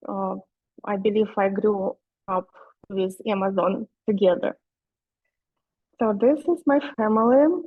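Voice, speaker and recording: A woman's voice: medium pitch, unhurried, pauses often, no echo. A woman from Russia with a Russian accent presents in a webinar, speaking English as a second language. She hesitates often and pads her sentences with fillers. The audio sounds dull and lo-fi, with a dry, close feel. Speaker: guest speaker.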